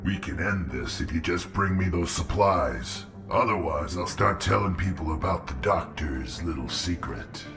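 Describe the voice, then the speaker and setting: An actor playing a gruff, menacing thug and middleman in a video game, full of bluster. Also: low-pitched, lively intonation, unhurried, few pauses, over music. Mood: threatening. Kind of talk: video game character acting.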